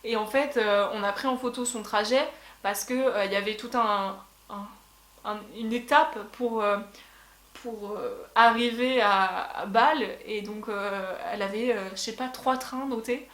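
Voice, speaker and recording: A woman's voice: on the high side, fairly even intonation, unhurried, a bit bright. A young woman vlogger telling a travel story in a casual chat, with many fillers and restarts. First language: French. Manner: chatty, lots of fillers and restarts. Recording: echoey room.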